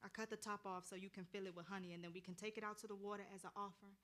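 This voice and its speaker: Actress as blunt, bright-voiced streetwise spiritual hustler woman. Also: medium pitch, steady tone, rapid-fire, natural voice. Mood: guarded, businesslike. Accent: American. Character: hard-bitten, impatient street spiritual reader, a hustling woman. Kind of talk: staged play reading.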